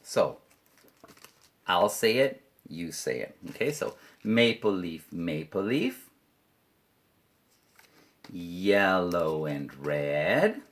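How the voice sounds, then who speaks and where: A Canadian man with a deep, low voice teaching English to children online. He talks slowly and lets his pitch swing widely, playful as he leads simple chants and repeats each line clearly. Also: sing-song.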